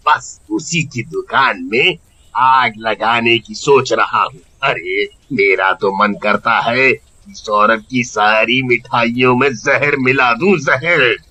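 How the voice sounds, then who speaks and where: Man as a bright, sharp-voiced jealous shopkeeper. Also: mid-range voice, exaggerated voice. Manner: grumbling, exaggerated. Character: jealous, spiteful male village sweet-shop owner. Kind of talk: cartoon acting.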